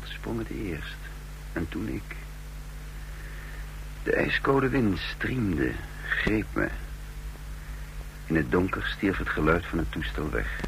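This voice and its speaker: A low male voice a bewildered fugitive who has lost his memory. He is brooding and halting, in the manner of suspenseful radio drama, on a slightly noisy, humming old recording. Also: heavily acted. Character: bewildered amnesiac man, escaped condemned prisoner, telling his story. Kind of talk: radio drama acting, brooding first-person narration.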